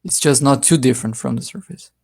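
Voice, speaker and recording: Man, gravelly voice, methodical debater in voice chat. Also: medium pitch, fast. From Brazil. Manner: argumentative, explains step by step. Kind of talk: heated online voice-chat debate.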